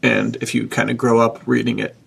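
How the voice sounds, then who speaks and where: A man's voice: mid-range voice, little pitch movement, a little fast, vocal fry, breathy edge. A man hosting a podcast in a casual, enthusiastic, effusive conversational style. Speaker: podcast host.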